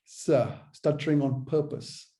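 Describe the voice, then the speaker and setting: A man hosting a podcast in a casual interview, with a gravelly, creaky edge to his voice. His tone is very dark and mellow, and he stutters openly, repeating sounds as he speaks. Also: medium pitch, slow pace. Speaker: podcast host.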